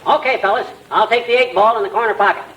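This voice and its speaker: An actor playing a boyish, cocky, bickering middle-aged husband, blustery and folksy, in 1940s radio comedy style. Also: male voice, high-pitched, fast, crowd noise, natural voice. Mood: jovial. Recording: very noisy old 1940s radio recording.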